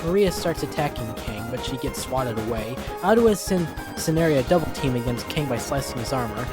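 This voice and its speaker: A man's voice: mid-range voice, expressive, fairly quick. A man reading scripted story narration in the role of narrator. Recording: dry voice over background music.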